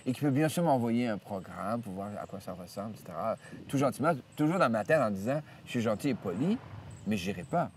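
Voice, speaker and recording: A man's voice: medium pitch, fairly quick, creaky, distant mic, a little hiss or hum. Older man, reminiscing. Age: 70s. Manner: rambling, many hesitations and restarts, lively retelling of dialogue. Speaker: priest interviewed about his life. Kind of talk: storytelling interview. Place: outdoors in nature.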